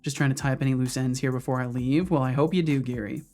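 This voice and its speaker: Thirty-something guy on stream, chill chatter while coding, mid pitch. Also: quick pace, faint music behind. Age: 30s. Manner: relaxed, talks to chat between coding asides. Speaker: streamer and game developer. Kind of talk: casual livestream chat while coding. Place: home office.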